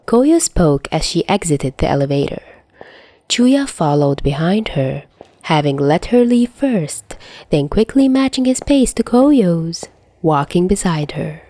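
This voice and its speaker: A woman reads fiction like an audiobook, with a fairly low, mellow voice. The delivery is expressive and moves widely in pitch. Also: a little slow, no echo. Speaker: podfic narrator. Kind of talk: expressive audiobook-style story narration.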